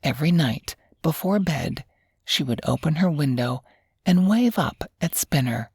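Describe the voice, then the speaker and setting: A woman narrates a children's bedtime story, taking her time with every phrase. Her voice sits low, with a creaky edge, and it is recorded close and dry. The delivery is gentle and unhurried. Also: expressive, no room sound. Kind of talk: slow scripted bedtime storytelling.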